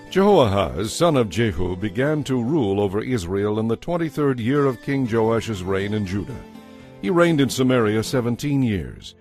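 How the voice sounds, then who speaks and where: A man giving a measured, formal scripted narration for a dramatized audio Bible, as narrator. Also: on the low side, darkish tone.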